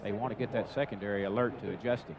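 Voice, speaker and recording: A male color commentator with a lowish voice talks quickly as he breaks down football plays. A stadium crowd is clearly audible behind him on the radio broadcast. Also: fairly even intonation, lo-fi sound. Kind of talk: football analysis between plays.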